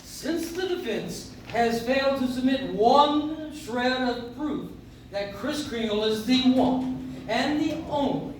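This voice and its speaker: An actor playing a pompous, indignant prosecuting attorney in a live radio play, recorded from the audience in a noisy, echoey room. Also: male voice, very high-pitched, far from the mic, heavily acted. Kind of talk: live stage re-creation of old-time radio play.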